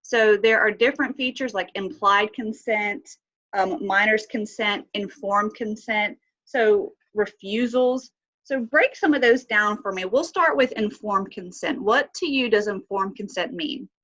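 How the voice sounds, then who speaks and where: A woman's voice: mid-range voice, unhurried, fairly bright tone, highs cut off. A woman teaching an online class with a slight cold, asking students questions and using many fillers. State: has a cold, sniffly. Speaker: instructor.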